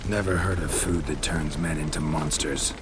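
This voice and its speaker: An actor playing a gruff, stoic monster hunter in a video game, speaking in terse, dry understatement. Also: male voice, extremely low pitch, fairly even intonation, normal speed, background noise. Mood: skeptical.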